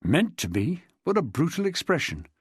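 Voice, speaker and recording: A narrator voicing a paunchy male police detective in an audiobook, dry, measured and persistent in questioning. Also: medium pitch, very animated, husky, dry recording, pitched above the performer's own voice. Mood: dry, probing.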